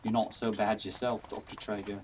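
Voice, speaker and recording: A man's voice: on the low side, little pitch movement, brisk, noisy background, natural voice. A man playing a humble, good-humoured coachman in a radio drama, polite and deferential. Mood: jovial. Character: humble, genial male coachman, deferential and joking.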